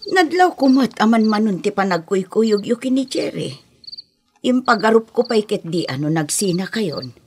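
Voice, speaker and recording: A woman playing a concerned, gently watchful middle-aged mother in an Ilocano radio drama, with an Ilocano accent, on an old recording. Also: medium pitch, animated, normal speed, slight background noise, lightly acted. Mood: advising, soothing. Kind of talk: Philippine radio drama acting.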